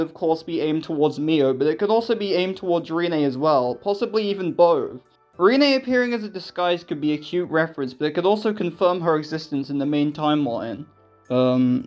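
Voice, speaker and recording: A man's voice: a little high, highs cut off. A man giving casual scripted commentary as a video essayist, with a sarcastic, self-deprecating manner and some swearing.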